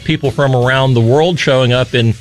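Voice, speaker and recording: A man's voice: mid-range voice, steady tone, brisk, soft background music. A man hosting a radio talk show, joking and teasing his co-host.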